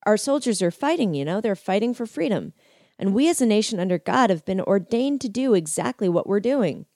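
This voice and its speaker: A man's voice: sits high, very animated, brisk, darkish tone, dry recording, studio quality, pitched above the performer's own voice. A woman voicing a small, fiery older male biker preacher with a Southern accent and an emotional, drawling preaching manner.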